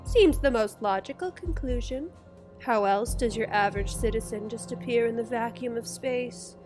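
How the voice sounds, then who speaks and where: A woman playing a sardonic, forceful American army general in an audio drama, brusque and sarcastic. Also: fairly high pitch, normal speed, music in the background, lightly acted. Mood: sardonic, pressing.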